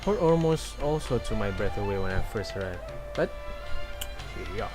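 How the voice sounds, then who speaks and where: A man's voice: on the low side, expressive, moderate pace, over loud music. A man streaming a game with laid-back, casual commentary, reading dialogue aloud.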